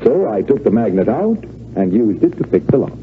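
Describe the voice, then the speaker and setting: A man's voice: mid-range voice, very animated, brisk, natural voice. Guy playing confident smug magician in old radio show, swingy delivery, thin hissy sound. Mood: smug, teasing. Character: confident, cool-headed male stage magician. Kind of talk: 1940s radio drama acting. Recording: tinny, narrow, noisy old radio recording.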